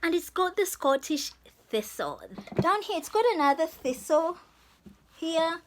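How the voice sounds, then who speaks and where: A woman's voice: extremely high pitch, expressive, unhurried, a little hiss or hum. A woman from Zambia chatting effusively to camera in a vlog, laughing often. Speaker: vlogger. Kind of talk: casual vlog talk to camera.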